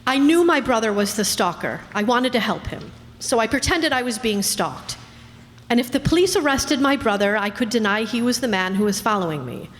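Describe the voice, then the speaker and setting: A woman's voice: fairly high pitch, expressive, normal speed, natural voice. A woman playing a defiant, scheming prisoner who shields her brother in an old-time radio drama, aggrieved and insistent. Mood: defensive. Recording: reverberant hall, faint background noise.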